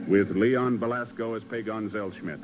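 A man's voice: fairly low pitch, moderate pace, heavy background noise. A man doing scripted announcing and narration in 1950s radio style. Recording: muffled 1950s radio broadcast.

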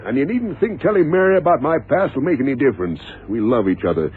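A man's voice: mid-range voice, very animated, fast, close to an everyday voice. Stubborn father with swooping, indignant voice, acted by a man. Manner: insistent, indignant. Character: stubborn, defiant father, a newly married man. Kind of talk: 1970s radio drama acting. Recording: tinny, narrow-band, noisy old radio recording.

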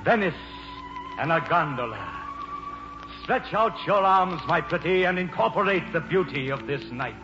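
A man's voice: on the high side, lively intonation, moderate pace, close to an everyday voice. Man playing a flamboyant roguish charmer, swooping delivery. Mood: grandly romantic. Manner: theatrical, poetic declaiming. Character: roguish, flamboyant American actor, grandly romantic charmer. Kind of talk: 1940s radio play acting. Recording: muffled old radio broadcast, music behind.

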